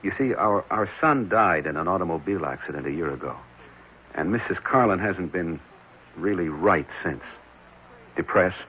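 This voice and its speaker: Grieving american dad in an old radio play, very low voice, halting and earnest, muffled sound. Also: male voice. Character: grieving father, earnest and hesitant would-be adopter. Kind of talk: 1970s radio drama acting. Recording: muffled, faintly noisy old radio recording.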